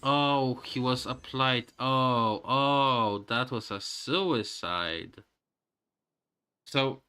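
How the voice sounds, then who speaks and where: A man's voice: lowish voice, sharp tone, clear, resonant tone. A man giving casual live commentary on a game, musing aloud to himself as he plays, with game music behind him. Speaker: game streamer.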